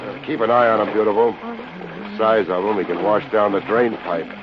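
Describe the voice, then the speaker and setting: An actor playing a wisecracking, unflappable private investigator in an American radio drama, dry and sardonic, with an American accent. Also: male voice, lowish voice, animated, normal speed, heavy background noise, close to an everyday voice. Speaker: lead actor narrating and playing a detective. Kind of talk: 1950s American radio detective acting. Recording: dull old radio recording with music.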